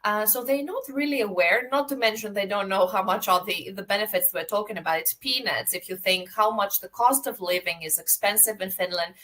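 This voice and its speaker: A woman's voice: medium pitch, fairly quick, hardly any pauses, fairly bright tone. A Greek-born woman hosting a video podcast on a call, talking passionately in long run-on stretches. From Greece. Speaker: podcast host. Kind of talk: casual interview chat.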